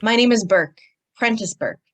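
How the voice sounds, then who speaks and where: A woman's voice: fairly high pitch, normal speed, fairly bright tone, close to an everyday voice. A woman playing a prim, pompous male department-store executive in old-time radio style, formal, stiff and precise. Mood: formal, officious.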